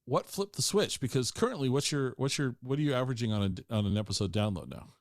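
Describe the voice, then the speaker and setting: A man's voice: mid-range voice, lively intonation, fast talker, dry recording, clean studio sound. A man hosting a podcast interview, asking curious, probing questions with frequent restarts. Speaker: podcast host. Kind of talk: casual interview conversation.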